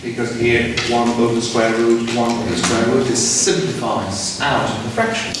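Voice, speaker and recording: Guy teaching physics real slow, keeps asking if u follow, far off mic, loud background noise. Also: fairly even intonation. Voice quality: low voice. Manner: slow, deliberate explaining, often checking if listeners follow. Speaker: physics lecturer. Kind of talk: university lecture. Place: lecture room. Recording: echoey, noisy room recorded from far off.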